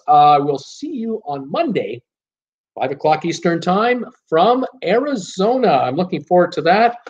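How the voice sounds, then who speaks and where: A man hosting a live cruise Q and A stream, reading viewer comments aloud and replying in a chatty, easygoing way with lots of "uh"s. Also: on the high side. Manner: reads out chat names and replies in a chatty, easygoing way with many "uh"s. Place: temporary home setup in a city. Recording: dull, lo-fi live-stream audio.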